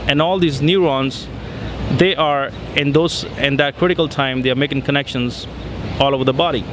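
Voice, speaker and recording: A man in his thirties from India with an Indian accent, answering interview questions outdoors in a city square, pausing often with fillers. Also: mid-range voice. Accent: Indian, Punjab. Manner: hesitant, many fillers. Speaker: interview guest. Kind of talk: casual interview. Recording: street traffic noise behind. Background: city traffic.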